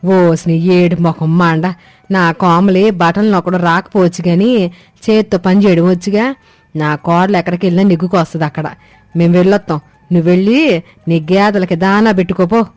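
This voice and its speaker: Woman playing a sharp-tongued old mother-in-law. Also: lowish voice, steady tone, normal speed, no echo, close to an everyday voice. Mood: proud, scolding. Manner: scolding, rustic, blunt. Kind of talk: scripted comic family story acting. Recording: dry studio, music behind.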